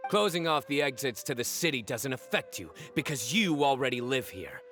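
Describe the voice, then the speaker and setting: An actor playing a stern, composed young male chief investigator in a video game, speaking in a measured, authoritative way. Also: medium pitch, sing-song, bright, forceful tone, soft background music. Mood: accusing.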